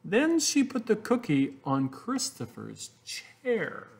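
A man reads a picture book to children with a hugely lively, swooping pitch. His pace is slow and theatrical, with stretched words and playful sound effects. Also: gravelly. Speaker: storybook reader. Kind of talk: animated children's picture-book read-aloud.

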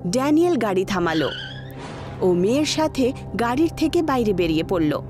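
Lively high-voiced woman narrating horror. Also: normal speed, over music. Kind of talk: dramatic scripted horror-story narration.

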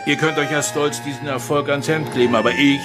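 An actor playing a gruff, thrifty police chief in a German radio crime drama. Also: male voice, on the high side, fairly even intonation, a little fast, lightly acted. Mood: dry, stern. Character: gruff, thrifty male police chief addressing his team. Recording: music under the voice. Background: music.

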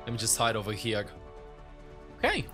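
A man's voice: lowish voice, huge pitch swings, normal speed. A man in his thirties giving casual, laid-back, slangy commentary on live gameplay into a close desk microphone. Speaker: gaming streamer. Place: small indoor streaming room. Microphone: desk microphone close to the mouth. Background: loud game music and effects.

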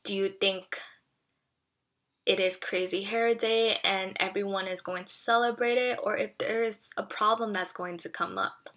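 A woman reading a children's picture book aloud expressively, pausing to explain things to young listeners. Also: medium pitch, fairly even intonation, a bit bright.

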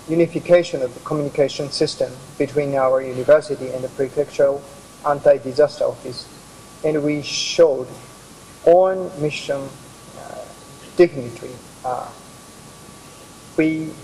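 A middle-aged Japanese man with a Japanese accent, a hospital doctor presenting slides, halting with many pauses. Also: a little high, mellow, slight background noise, poor audio. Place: office with bookshelves. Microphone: video call.

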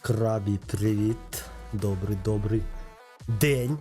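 Guy streaming a racing game, chatty, cusses, music loud behind him. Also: lowish voice, fairly even intonation, takes it slowly. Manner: chatty, swears, talks to chat by name. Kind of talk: casual live-stream chat while racing. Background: game music and engine sounds.

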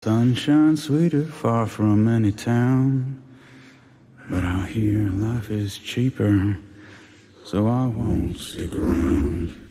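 Slow, low demonic cowboy gunslinger. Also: male voice, a little mellow. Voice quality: low drawl with demonic growl layered on words. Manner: brooding, understated cowboy cool. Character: vengeful demon-possessed cowboy gunslinger, grim and cool. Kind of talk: video game voice lines.

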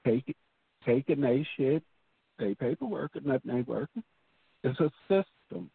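A man's voice: medium pitch, animated, moderate pace, vocal fry, slightly rough, dry recording. A man co-hosting a casual talk show, speaking bluntly and emphatically with a lot of profanity. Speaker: co-host. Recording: tinny phone line.

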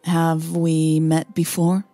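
Woman playing a cool, curt businesswoman, low-voiced. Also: completely flat delivery, slow pace, warm tone, no echo, faint music behind. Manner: cool, clipped. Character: curt, cool young businesswoman, privately exasperated.

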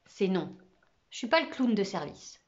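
A woman voicing an amused but firm male schoolteacher, speaking dryly and calmly in a storybook reading. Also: mid-range voice, normal speed, natural voice. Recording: slightly muffled recording.

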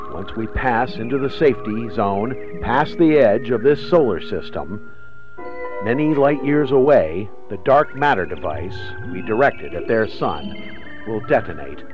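A man acts out a regretful alien commander from a radio play. His voice is low and swings expressively, yet the wording stays measured and formal, with prominent music behind him. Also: highs cut off. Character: regretful male alien commander recording his world-ending mission. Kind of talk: radio drama monologue.

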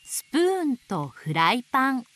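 A woman reading picture books aloud to babies in a gentle, sing-song way, dwelling on playful sound words. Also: high-pitched, big pitch swings, normal speed, a little hiss or hum. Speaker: storybook narrator voicing an owl. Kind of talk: children's picture book read-aloud.

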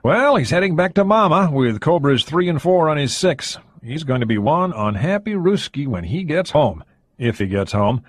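A male narrator playing a cocky young American lieutenant who cracks jokes in a relaxed way. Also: a little high, normal speed, no room sound, pitched above the performer's own voice. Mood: amused. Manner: wisecracking, relaxed.

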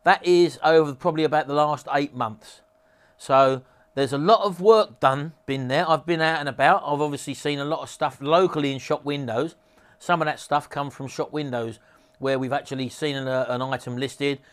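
Englishman with a slightly high-set voice. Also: steady tone, normal speed. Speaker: presenter promoting an auction reselling course. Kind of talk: informal sales pitch to camera. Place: small cluttered home office.

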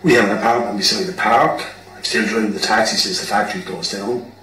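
A man's voice: lowish voice, steady tone, quick pace, pushed and bright. Man voicing a wounded, hot-tempered Irish musician for radio drama, blunt, recorded at a distance. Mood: downcast. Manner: blunt, emotional, sweary. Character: hot-tempered, foul-mouthed, wounded Irish bandmate Kevin. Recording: roomy, distant mic, background noise.

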